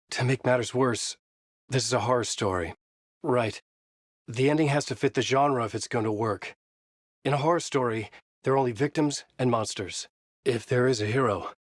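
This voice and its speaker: An actor playing a tormented thriller writer trapped in a horror story in a video game, with a grave, introspective, intense delivery and a husky voice. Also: male voice, mid-range voice, no echo, studio quality, natural voice. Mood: urgent, troubled. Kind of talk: brooding dramatic game dialogue and noir monologue.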